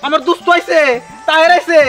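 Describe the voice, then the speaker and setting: An actor playing a warm-hearted, eager village friend in a drama, with a rural Bangladeshi accent. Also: male voice, very high-pitched, little pitch movement, fast, soft background music. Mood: excited. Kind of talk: Bangla short-film drama acting.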